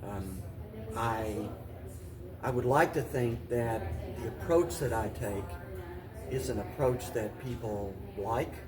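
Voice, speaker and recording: An American man answering interview questions in a deliberate, halting way, often restating his phrases as he goes. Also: mid-range voice, a crowd in the background. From Paducah, Kentucky, United States. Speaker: mayor and interview guest.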